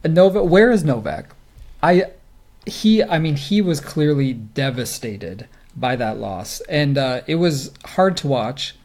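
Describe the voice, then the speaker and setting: A man with a voice pitched a little higher than average chats as a podcast co-host. His delivery is informal, conversational sports commentary. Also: expressive, slow, darkish tone.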